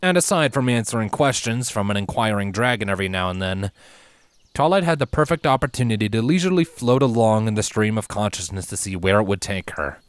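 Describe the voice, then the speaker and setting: A man with a fairly low voice narrates a story with theatrical emphasis. His pitch moves in a very lively way, and the sound is dry and close. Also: a little fast, clean tone, no room sound. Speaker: fan fiction narrator.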